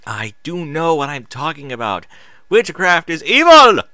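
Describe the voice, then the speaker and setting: A man's voice: fairly high pitch, huge pitch swings, measured pace, sharp tone, no echo, pitched above the performer's own voice, full character voice. A man voicing a stern, disapproving older female alicorn, a royal aunt lecturing in a fantasy story reading.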